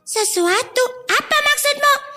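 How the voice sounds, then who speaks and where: Earnest, devout little schoolgirl, extremely high childlike voice, polite, for children's audio story. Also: animated, measured pace, sharp tone, full character voice. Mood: earnest, correcting. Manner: childlike, polite, confiding in the listeners.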